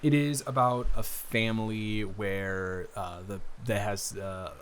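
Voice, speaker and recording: A man playing a friendly, bookish young guy, his best friend's brother, chatting casually and haltingly in an improvised roleplay audio. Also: fairly low pitch, fairly even intonation, a bit bright, slight background noise. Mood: enthusiastic, rambling. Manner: halting, rambling, self-interrupting with repeated restarts.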